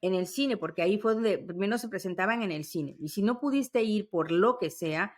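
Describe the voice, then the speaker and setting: A middle-aged woman chatting nostalgically as a podcast co-host, telling stories in an animated way and acting out little dialogues. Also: mid-range voice, normal speed. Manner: animated storytelling, acts out small dialogues. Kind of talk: casual nostalgic chat.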